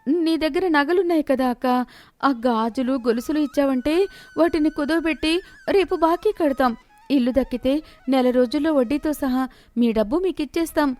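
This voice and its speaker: A woman's voice: high voice, normal speed, darkish tone, voice pushed up, natural voice. A woman voicing an exasperated, crafty young wife, whiny and pleading, in a dramatized moral story. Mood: tearful pleading.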